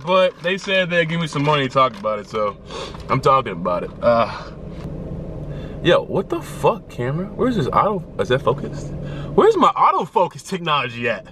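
A man's voice: fairly high pitch, huge pitch swings, moderate pace. A man in his twenties vlogging from his car on a dashboard camera, joking and swearing in casual banter. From Chicago area. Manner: joking, profane, mock-advertising banter. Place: parked car, indoors. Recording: clear road and engine noise. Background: car and street noise.

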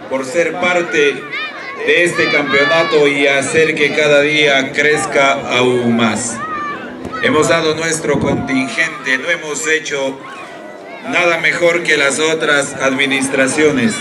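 An Ecuadorian man gives a speech of thanks outdoors. His voice is fairly high and slow, over clear crowd noise at a sports ground. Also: steady tone. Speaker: tournament president. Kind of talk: public thank-you speech. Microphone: handheld microphone.

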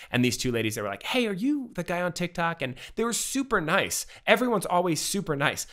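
A man in his thirties, a podcast guest, telling a personal story in casual, animated talk full of fillers and acted-out quotes, on a studio mic at home. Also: medium pitch. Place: home room with bookshelves. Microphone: studio mic with pop filter, headphones.

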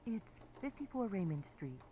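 A woman's voice: mid-range voice, big pitch swings, normal speed, lightly acted. A woman voicing a panicky mother making an emergency call in a horror game. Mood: anxious. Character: frightened, panicky mother calling police. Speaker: emergency caller character. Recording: noisy emergency phone call.

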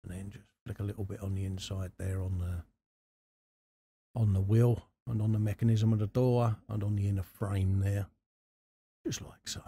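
Deep, gravelly man, casual. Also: level tone, mellow. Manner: unhurried, informal, chatty. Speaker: host of a scale model workshop channel. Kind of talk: casual hobby tutorial commentary while working. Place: indoor hobby workbench.

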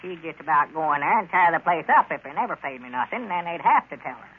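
A man's voice: fairly high pitch, animated, fast. An actor playing a fretful, henpecked old rural man with a Southern American drawl, in a folksy, plaintive 1930s radio comedy style. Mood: worried. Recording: scratchy, tinny 1930s radio broadcast.

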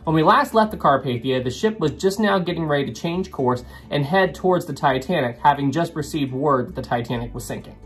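A young man in his twenties, his voice a little rough from recovering from a cold, telling a history story to camera from a small home room. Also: a little high, animated, a little fast, few pauses. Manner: earnest, repeats names often. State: slightly rough voice from recovering illness. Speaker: history channel host. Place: small home room with wood paneling and ship models.

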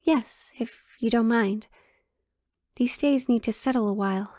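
High-voiced, pleading young princess, acted by a woman. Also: unhurried, warm tone, dry recording, clean studio sound. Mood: wistful, hesitant. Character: pleading, sheltered young royal woman in forbidden love. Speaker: audio drama performer. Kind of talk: dramatic romantic audio roleplay acting.

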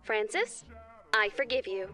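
A woman voicing a gentle, instructive angel rabbit who teaches moral lessons in a children's cartoon. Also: medium pitch, very animated, a little slow, music in the background. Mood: kindly.

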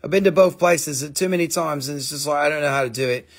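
Excitable gravelly-voiced man selling gems. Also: fairly high pitch, fast talker. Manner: excitable, exclaiming, casual swearing. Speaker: live-stream gem seller. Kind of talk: live sales stream chat. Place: indoor shop table, close-up on stones.

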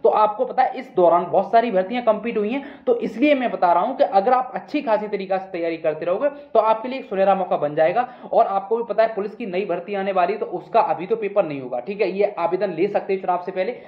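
A man's voice: high voice, quick pace, hardly any pauses. A man giving an informal, instructive explainer to exam candidates, often repeating himself and checking in. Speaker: exam news video presenter. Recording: roomy echo, dull muffled sound.

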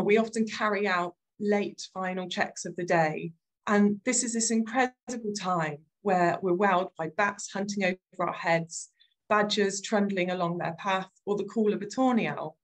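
A woman farmer giving a gentle, thoughtful webinar talk over slides, often saying "sort of" as she goes. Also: medium pitch.

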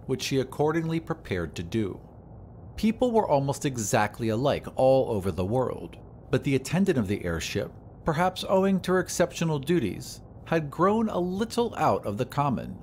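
A man's voice: medium pitch, sing-song, moderate pace, darkish tone, slight background noise. A man reading scripted narration for an audio drama. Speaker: podcast narrator.

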